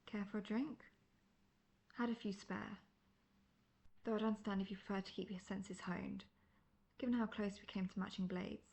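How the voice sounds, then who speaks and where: A woman's voice: medium pitch, fairly even intonation, moderate pace, pauses often, darkish tone, lightly acted. A woman playing a seasoned, cocky monster hunter in a fantasy audio roleplay, talking in a wry, conversational, self-assured way. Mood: relaxed, friendly. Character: seasoned, cocky, world-weary female monster hunter, friendly mentor.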